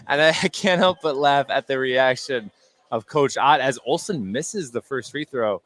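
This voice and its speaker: A man's voice: medium pitch, measured pace, fairly bright tone. A man working as color commentator on a live basketball game, reacting to plays in hesitant, conversational phrasing.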